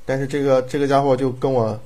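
A man's voice: mid-range voice, flat delivery, fast talker, warm tone. Male director, casual and emphatic, rambling talk full of tag questions. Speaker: audio drama director. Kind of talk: casual chat.

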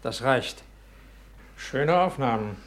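An actor playing a calm, reassuring police sergeant in a German radio play, matter-of-fact with hesitant phrasing. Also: male voice, mid-range voice, takes it slowly. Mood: calm, official.

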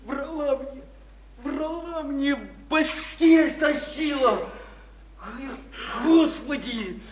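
Angry wounded writer type, really high pitched, scornful stammery rants, roomy radio drama sound. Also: male voice, extremely slow, full character voice. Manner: ranting, stammering repetitions, scornful. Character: bitter, explosive male would-be writer, scornful and wounded. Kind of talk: theatrical radio play acting. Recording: roomy, faintly noisy 1991 radio play recording.

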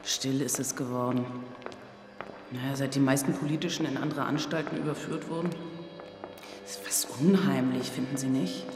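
An actor playing a gloomy, chatty prison employee weary of confinement in a German radio drama. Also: male voice, mid-range voice, fairly even intonation, normal speed, lightly acted. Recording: echoey hall with long reverb, music behind.

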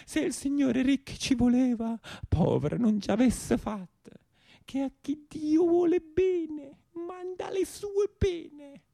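Give a pious old southern Italian mother, played by a man, fretful and plaintive. Her high voice wails and drags out each word very slowly, as in a stage tragedy on radio. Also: gravelly, dark tone, exaggerated voice. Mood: worried, lamenting. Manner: slow, plaintive, drawn-out warnings.

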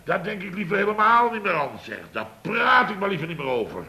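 Blustering, forceful middle-aged boss. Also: male voice, high voice, sing-song, a little fast, creaky, exaggerated voice. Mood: exasperated. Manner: blustery, exclamatory, repeats himself, mimics people. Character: blustering, exasperated male boss of a building firm. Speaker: lead actor in radio comedy serial. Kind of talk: Dutch radio comedy acting, anecdote telling.

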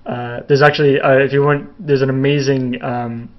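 A young man from Canada chats casually while he answers viewer questions. His voice sits at an ordinary middle pitch and wanders through fillers and restarts. The sound is a bit dull and lo-fi. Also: little pitch movement, moderate pace. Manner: rambling, many fillers and restarts, laughs a little. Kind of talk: casual live Q&A chat.

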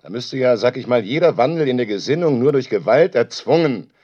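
An actor playing a defensive, volatile sound artist in naturalistic radio drama, argumentative and given to ranting and swearing. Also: male voice, mid-range voice, expressive, brisk, lightly acted. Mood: argumentative, earnest. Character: defensive, volatile male sound artist who records everything. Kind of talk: naturalistic radio play dialogue. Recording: dull, lo-fi home tape sound.

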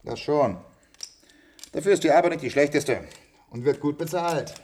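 A man's voice: a little high, normal speed, a little mellow, close to an everyday voice. An actor playing a chummy, nosy handyman in a German science-fiction radio drama, talking in a blunt, colloquial way. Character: chummy, nosy institute handyman, matter-of-fact working man.

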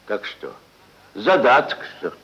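An actor playing a gruff, stubborn, greedy landowner who haggles slyly in theatrical classic radio-play style, grumbling and repeating himself. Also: male voice, medium pitch, big pitch swings, slow pace, a bit bright. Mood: grasping, insistent. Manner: grumbling, repeats words, sly and insistent. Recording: dull old archival radio recording.

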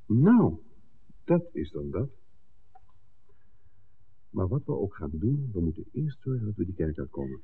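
A man's voice: lowish voice, big pitch swings, a little slow, pauses often. An actor playing a worldly, principled man with a wry, bantering way, teasing his friend in a 1960s Dutch radio drama. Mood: urgent, probing. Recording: muffled, noisy old radio drama recording.

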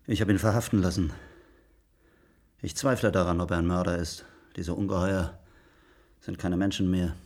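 An actor playing a defensive, indignant official in a German radio play, husky-voiced and grave in manner. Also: male voice, low voice, fairly even intonation, normal speed, long pauses, a little mellow. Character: defensive, indignant male official under accusation.

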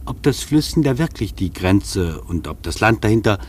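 Opinionated traveller in a radio play: lively intonation, slightly rough husky tone, measured literary delivery with dry irony. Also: male voice, on the low side. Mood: dry, ironic. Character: wry, opinionated male traveller recounting his journey. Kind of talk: literary first-person radio narration.

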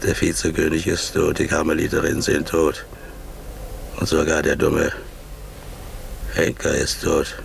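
A man's voice: very deep voice, completely flat delivery, gravelly, heavily acted. A man playing a grim, fanatical cobbler who guards a church, in a brooding 1950s German radio drama style that turns to ranting. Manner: brooding, halting, rising to ranting zeal. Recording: noisy 1950s radio recording.

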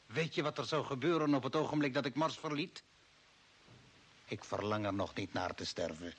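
A man's voice: mid-range voice, expressive, moderate pace, lightly acted. An actor playing a cold, authoritarian old man from Earth who serves the Martians in an older Dutch radio play, commanding and scornful. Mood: cold, firm. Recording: hissy, noisy old radio recording.

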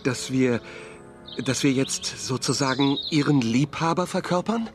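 A man's voice: medium pitch, measured pace, music in the background, lightly acted. A man playing an indignant, possessive, agitated character in a radio crime drama.

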